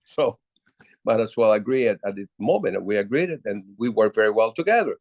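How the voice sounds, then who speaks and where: An older man from Puerto Rico with a low voice, telling stories as a musician guest in a casual interview, with many halting restarts. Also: lively intonation, a little mellow, dry recording.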